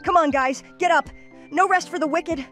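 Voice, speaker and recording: A woman plays a determined young boy hero from a cartoon. The voice is high-pitched, eager to lead and kind to friends. Music runs faintly underneath. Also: normal speed, a bit bright. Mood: eager, defiant. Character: Max, plucky, determined, kindhearted boy hero. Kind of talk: cartoon comic dub acting.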